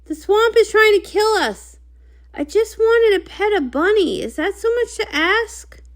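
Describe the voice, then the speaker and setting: Woman as a high, fretful young pony. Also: expressive, measured pace, heavily acted. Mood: panicked whining. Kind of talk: comic read-along character voice.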